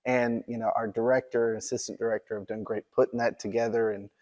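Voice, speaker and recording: A man's voice: mid-range voice, level tone, fast, crowd noise. A man interviewing a guest in a conversational, promotional style, speaking with effusive enthusiasm as the host. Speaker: interviewer, museum event host.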